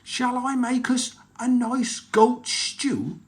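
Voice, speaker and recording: A storyteller voicing a timid male troll in a children's storybook, with a small, high, shaky voice. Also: slow, close to an everyday voice. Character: timid male troll who hides in a chest. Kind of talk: storybook read-aloud.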